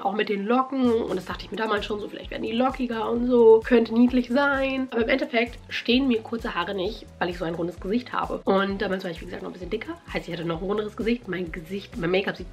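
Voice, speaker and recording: A young woman vlogging casually from her bedroom, slightly nasal from a cold, chatty and animated. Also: a little high. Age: young adult. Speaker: YouTuber. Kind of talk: casual vlog chat. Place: bedroom at home.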